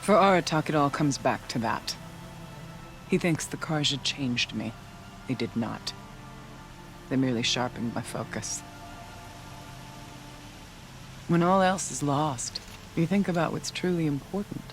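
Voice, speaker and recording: Game shaman: devout and earnest, voice on the higher side, formal measured delivery, music underneath. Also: expressive, fairly bright tone. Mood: pensive. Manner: measured, formal, reverent phrasing. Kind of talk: dramatic video game cutscene acting.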